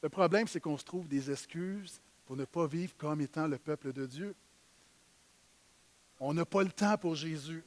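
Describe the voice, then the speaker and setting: A man with a Québécois French accent preaching a sermon in church, emphatic, swinging between loud stresses and quiet low lines. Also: a little slow. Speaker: pastor.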